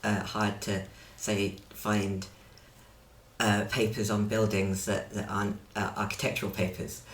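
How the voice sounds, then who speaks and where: A young man, a mathematician speaking as a guest in a podcast interview, relaxed and chatty with a dry sense of humor. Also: fairly low pitch, a little slow, echoey room. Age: young adult. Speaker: guest, early career mathematician.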